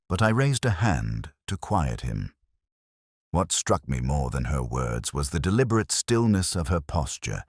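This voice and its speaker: Very deep-voiced man narrating a brooding mystery. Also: breathy edge, no echo, studio quality. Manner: measured, literary, brooding. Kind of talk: scripted first-person detective story narration.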